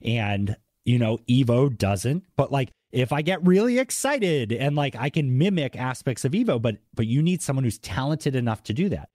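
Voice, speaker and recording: Male podcast host, light Boston accent, mid-range pitch, enthusiastic and chatty, very dry recording. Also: lively intonation, moderate pace, few pauses, dark tone, no room sound. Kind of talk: casual interview chat.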